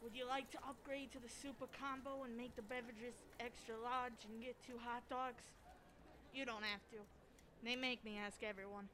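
Female cinema character: high voice, plain-spoken, casual delivery, noticeable background noise. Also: fairly even intonation, moderate pace, bright, forceful tone. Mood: routine service. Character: female cinema-going film fan and concession clerk. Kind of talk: audio drama dialogue. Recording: clear background ambient noise.

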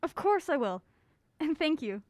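Actress as a high-voiced, lively, eager niece. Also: female voice, a little slow, no room sound. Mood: grateful. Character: bright, polite, affectionate young niece on a puzzle hunt. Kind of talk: audio drama acting.

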